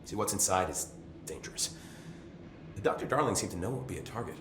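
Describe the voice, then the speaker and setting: Low-voiced, chatty security chief. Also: male voice, expressive, quick pace, roomy sound, noisy background. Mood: flustered, apologetic. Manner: informal, rambling, wry, swearing. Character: chatty, nervy, loyal male maintenance security chief. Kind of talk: video game dialogue.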